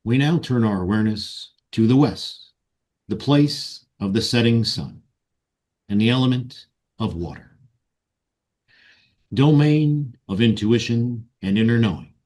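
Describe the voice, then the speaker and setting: A man leading a guided meditation and ceremonial invocation in solemn, deliberate short phrases. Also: medium pitch, lively intonation, a little mellow. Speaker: meditation guide and ritual leader.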